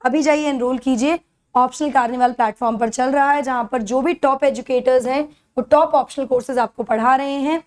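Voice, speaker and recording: High-pitched Indian woman lecturing. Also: fairly even intonation, a little fast. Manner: instructional, checks understanding with short tag questions. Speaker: educator for civil service exam courses. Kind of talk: explanatory lecture mixing Hindi and English.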